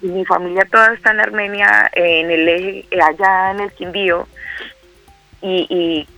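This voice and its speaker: A woman's voice: medium pitch, steady tone, moderate pace, bright, forceful tone, no echo, soft background music, poor audio. A middle-aged Colombian woman speaking by phone, hesitant, with many fillers and repeated words. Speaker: guest caller. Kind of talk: phone interview.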